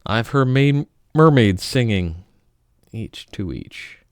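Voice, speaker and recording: Guy doing a big dramatic poem reading, smooth clear voice, slow, really dry close sound. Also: fairly low pitch, lively intonation, no echo. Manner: theatrical, swinging between loud outbursts and hushed murmurs. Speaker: poetry reader. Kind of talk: melodramatic dramatic poetry reading.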